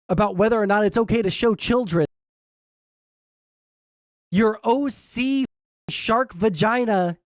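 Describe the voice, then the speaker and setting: Sarcastic young man with a high tenor. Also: no room sound, studio quality. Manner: blunt, sarcastic, swears often, many "all right?" tags. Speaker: commentary streamer. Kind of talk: reaction commentary.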